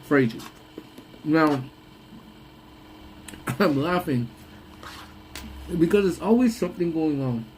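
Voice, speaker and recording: A man's voice: fairly high pitch, animated, takes it slowly, pauses often, a little mellow, a little hiss or hum, poor audio. A young man hosting a casual lunch-break live stream, rambling and laughing often, with a voice that sounds congested. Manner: rambling, laughs often, trails off mid-thought.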